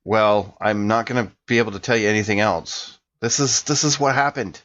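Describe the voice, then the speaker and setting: A man playing an earnest, defensive detainee in an improvised tabletop game, protesting in a halting, everyday voice. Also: lowish voice, a bit bright, highs cut off, lightly acted.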